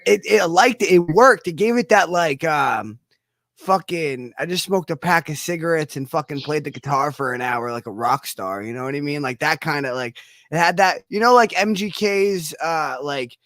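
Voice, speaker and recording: Rambling, profane, higher-voiced man. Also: moderate pace, a bit bright, no room sound, clean studio sound. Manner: rambling, profane, lots of fillers and restarts. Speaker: cypher host. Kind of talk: casual chat.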